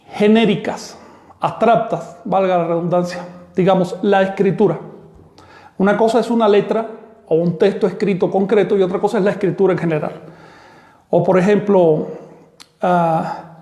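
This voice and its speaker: A man giving an online language lesson, explaining terms slowly and deliberately. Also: high-pitched, mellow. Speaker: language teacher. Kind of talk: online lecture. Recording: echoey room.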